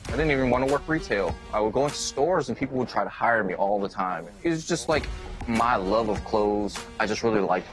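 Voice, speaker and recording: A man from the United States, a clothing designer, talking in a casual, quick, conversational way in an interview. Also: lowish voice, expressive. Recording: soft music underneath.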